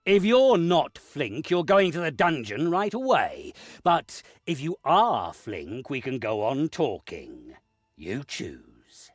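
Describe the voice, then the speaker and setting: An actor playing a stern, authoritative lord of a castle in a video game, husky and deliberate. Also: male voice, on the high side, wildly swooping pitch, dry recording, clean studio sound, faint music behind, heavily acted. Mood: stern, commanding. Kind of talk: video game cutscene acting.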